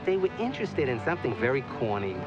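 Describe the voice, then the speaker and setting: Guy doing tour guide talk, emphatic, stop-and-start phrases, medium pitch, muffled with music under. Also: very animated, fast. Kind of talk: informal explanatory talk to a group.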